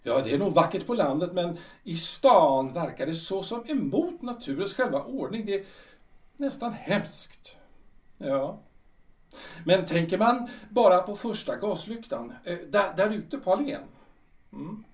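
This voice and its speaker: A man's voice: high-pitched, sing-song. A man acting a radio drama as a lonely, nostalgic retired official in his sixties, musing hesitantly in broken, repeated phrases. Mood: brooding. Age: 60s. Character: reclusive, nostalgic retired civil servant of 62, lonely but resigned. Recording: roomy echo, distant from the mic.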